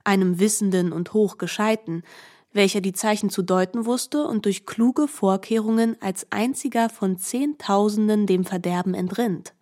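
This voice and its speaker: Woman narrating literary prose, medium pitch. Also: moderate pace, mellow. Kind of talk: literary scripted narration for radio.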